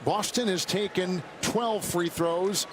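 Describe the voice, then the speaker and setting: A man's voice: high-pitched, slow, a crowd in the background. A man calling live basketball play-by-play in clipped, polished broadcast phrases. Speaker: play-by-play announcer. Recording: loud music over broadcast feed.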